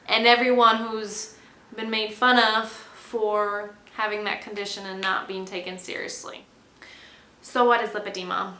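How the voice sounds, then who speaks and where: A woman's voice: mid-range voice, sharp tone. Young female vlogger, earnest talk-to-camera explainer; roomy echo, faint hiss behind. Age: 20s. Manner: earnest, explanatory, talks straight to viewers. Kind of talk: personal explainer video.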